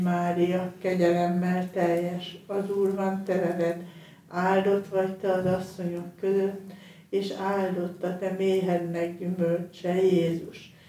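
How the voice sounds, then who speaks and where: A woman leading a rosary, reciting the prayer in a measured, monotone way in a very echoey space, away from the mic. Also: lowish voice, recorded from a distance.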